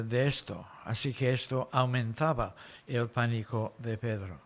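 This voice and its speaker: A man preaching an expository sermon in foreign-accented Spanish, explaining the story deliberately in short phrases. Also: medium pitch.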